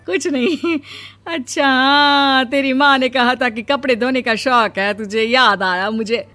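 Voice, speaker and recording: A woman's voice: high voice, a little slow, bright, forceful tone, soft background music. A woman playing a proud, touchy middle-aged village mother-in-law in a comic story, with a scolding, exclamatory delivery. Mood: placating.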